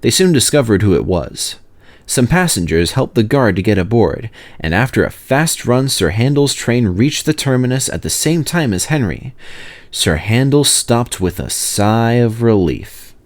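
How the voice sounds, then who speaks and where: A man reading a children's story aloud as narrator, in expressive scripted narration. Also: medium pitch, measured pace. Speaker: narrator, reader of children's stories.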